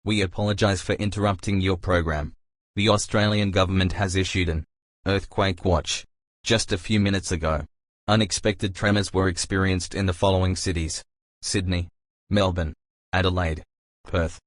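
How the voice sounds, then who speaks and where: A man playing a solemn emergency alert announcer, reading scripted warnings in a formal, measured way. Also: low voice, fairly even intonation, natural voice. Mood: solemn, official.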